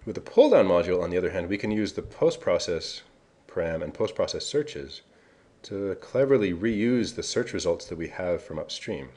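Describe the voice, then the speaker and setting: A man's voice: low-pitched, lively intonation, normal speed, dark tone. A man giving a casual screencast tutorial, explaining configuration code and correcting himself now and then. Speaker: software developer presenting a tutorial. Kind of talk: screencast walkthrough.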